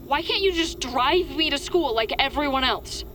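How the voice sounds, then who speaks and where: A woman's voice: high voice, expressive, normal speed, sharp tone, slight background noise. A woman playing a troubled, defiant teenage girl in an audio drama, pleading and agitated. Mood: aggrieved.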